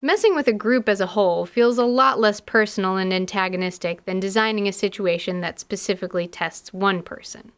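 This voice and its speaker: A woman's voice: medium pitch, a little fast, hardly any pauses, clear, resonant tone. A woman hosting as a dungeon master, narrating a scripted podcast in an articulate, slightly wry way.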